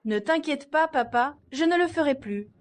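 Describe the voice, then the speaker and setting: A woman's voice: sits high, expressive, moderate pace. An actress dubbing a petulant, spoiled daughter in her twenties. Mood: placating. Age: 20s. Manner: petulant, dismissive. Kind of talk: dubbed drama acting.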